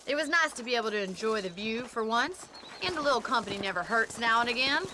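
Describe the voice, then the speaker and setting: A woman's voice: fairly high pitch, lively intonation, fast talker, close to an everyday voice. A woman acting a plain-spoken, wry young rancher in a Western video game, teasing and articulate. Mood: brisk, teasing. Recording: loud outdoor horse and wagon noise. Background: hoof clops, wagon ride.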